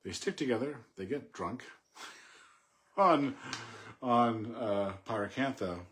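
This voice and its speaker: A man informally analysing a poem, thinking aloud in a halting, reflective way with pauses between short phrases. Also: medium pitch, fairly bright tone. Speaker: poetry commentator.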